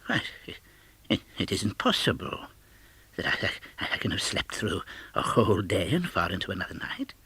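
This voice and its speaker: A man voicing a nervous, miserly old man in an audiobook, stammering and agitated, with a breathy, quavering voice. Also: low voice, sing-song, normal speed, pushed and bright, no echo, full character voice. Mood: bewildered.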